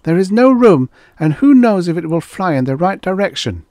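A man voicing a defiant, scheming primitive woman in a storybook, with sharp, scornful retorts. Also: high-pitched, sing-song, moderate pace, dry recording, clean studio sound, pitched above the performer's own voice. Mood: protesting.

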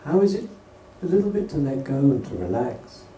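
A man leading a guided meditation as a teacher, speaking gently and unhurriedly in short phrases with pauses between them. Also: medium pitch, sing-song. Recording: distant roomy recording with faint noise.

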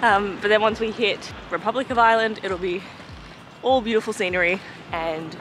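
A woman's voice: medium pitch, steady tone, moderate pace. A young woman vlogging her trip outdoors, holding the camera at arm's length, chatty and self-mocking with many ums. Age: young adult. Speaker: travel vlogger. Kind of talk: casual travel vlog. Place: outdoors by the coast and in town. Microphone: handheld camera at arm's length.

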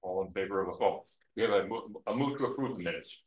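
A man chairing a city board meeting, easygoing and brisk, often repeating his words. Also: fairly low pitch. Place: council meeting room. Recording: echoey room, muffled sound.

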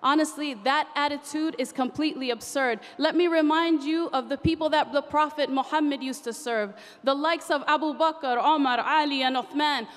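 A young woman with a high voice recites rhyming spoken word poetry at a podium mic. Her delivery is rhythmic and impassioned, and it carries a long echo through a large convention hall. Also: normal speed, few pauses. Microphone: podium microphone.

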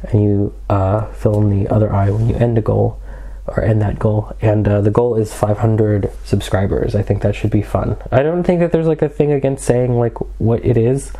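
A man's voice: lowish voice, level tone, moderate pace, dark tone. A young man with a cold and a congested voice, casually explaining history from his small home room with wry, sarcastic asides. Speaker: history video host.

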